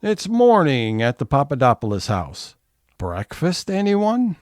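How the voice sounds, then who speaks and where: An actor playing a suspenseful, teasing narrator of an audio comedy serial, with measured theatrical delivery. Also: male voice, mid-range voice, huge pitch swings, darkish tone.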